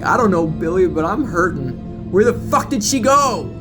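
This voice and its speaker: A man's voice: high-pitched, very animated, moderate pace, over music, pitched above the performer's own voice. A man voicing a wounded, manic young male killer's sidekick in an audio drama, whiny, slangy and pleading. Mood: pained whining.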